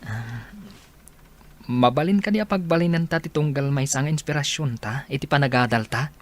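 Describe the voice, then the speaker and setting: A man playing a concerned, gently persuasive young student, earnest and questioning in an amateur audio drama. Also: mid-range voice, moderate pace, close to an everyday voice. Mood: hesitant, hopeful. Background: faint music.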